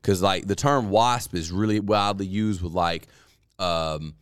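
Low, smooth-voiced candid podcast host man. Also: unhurried, dry recording. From Deep South US. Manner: candid, explaining at length, repeats words when restarting. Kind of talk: casual podcast chat.

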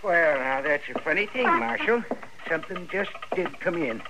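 A man's voice: fairly high pitch, big pitch swings, moderate pace. An actor playing a folksy frontier townsman in a 1950s radio western drama. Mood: amiable. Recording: noisy, crackly old radio recording.

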